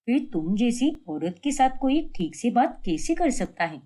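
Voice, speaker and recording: Lady doing a calm elder sister-in-law in a cartoon, righteous and measured, highish voice. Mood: disapproving. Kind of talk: animated cartoon family drama.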